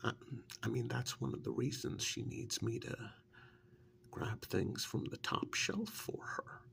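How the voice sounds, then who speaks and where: A man voicing a witch's loyal, plain-spoken assistant in a soft-spoken ASMR role-play, half-whispering and telling his story in a halting, matter-of-fact way. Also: mid-range voice. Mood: calm, fond reminiscing. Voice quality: soft, breathy half-whisper with gravelly fry.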